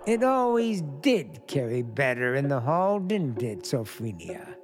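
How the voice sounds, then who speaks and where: A man playing a sly, menacing brother-in-law in a radio play, deliberate and taunting, with a creaky voice. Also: mid-range voice, very animated. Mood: sly menace. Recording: music behind the voice.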